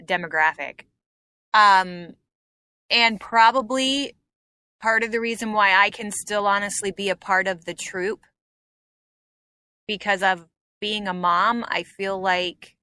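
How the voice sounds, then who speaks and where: A woman's voice: mid-range voice, sharp tone, dry recording. A woman comedian chatting as a guest on a podcast, reminiscing with plenty of fillers. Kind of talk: casual podcast interview.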